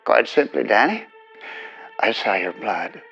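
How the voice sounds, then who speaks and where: An actor playing a domineering, wealthy 1950s presidential candidate, pitching grandly and persuasively over a telephone. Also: male voice, lively intonation, measured pace, gravelly, pushed and bright, heavily acted. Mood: cool, controlling. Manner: grandiose, persuasive salesman patter. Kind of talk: audio drama acting, telephone dialogue. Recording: dull telephone-style sound, faint music.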